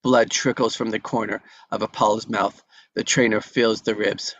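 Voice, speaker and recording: Low-pitched man reading stage directions. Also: level tone, moderate pace, fairly bright tone, dull audio. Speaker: action narrator. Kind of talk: scripted narration at a table read.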